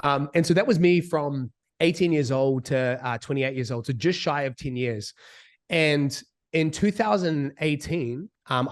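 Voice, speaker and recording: Husky New Zealand man in his thirties. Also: medium pitch. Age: 30s. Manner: speaks slowly and deliberately. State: slightly sick, husky. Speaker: business coach. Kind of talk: casual talk as a guest.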